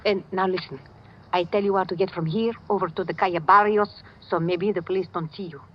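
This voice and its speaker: An actress playing a tough, tender, world-weary widow in an old-time radio drama, speaking broken English with a Spanish Latin American accent. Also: female voice, on the low side, steady tone, fairly quick, a little hiss or hum, natural voice. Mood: matter-of-fact. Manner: plain-spoken, bossy yet melancholy, in broken English. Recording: dull, lo-fi vintage radio recording.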